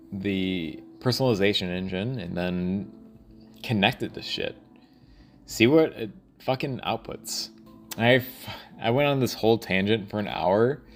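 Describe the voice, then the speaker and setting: A man thinking aloud in a rambling, casual audio log, halting and often trailing off mid-thought. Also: lowish voice. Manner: casual, profane.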